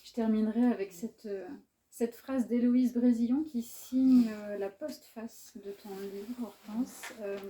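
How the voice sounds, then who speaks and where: A woman moderating a discussion, with a very dark, mellow tone. She speaks in a measured way, setting up questions for her guests. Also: on the high side, poor audio. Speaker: host.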